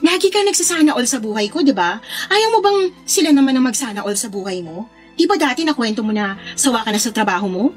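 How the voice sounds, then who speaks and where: A woman's voice: on the high side, expressive, fast talker, soft background music, natural voice. A woman playing a brazen, persuasive young friend in a Filipino radio drama, with a slangy, cajoling delivery. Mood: persuasive, teasing.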